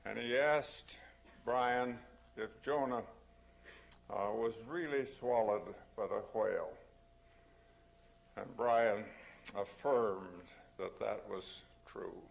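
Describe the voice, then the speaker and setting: An older man offers reflective remarks at a public talk, speaking very slowly with a gravelly creak in his voice. His delivery is formal and deliberate, with halting starts before each thought. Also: on the low side, fairly even intonation. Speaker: panel speaker.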